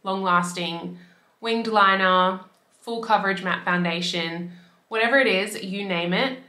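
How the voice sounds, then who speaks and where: A woman in her twenties from Australia, chatting casually to camera as a beauty vlogger, her voice slightly raspy from a cold. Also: mid-range voice, unhurried, sharp tone, echoey room. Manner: chatty, upbeat, fillers like "like". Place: bedroom by a window.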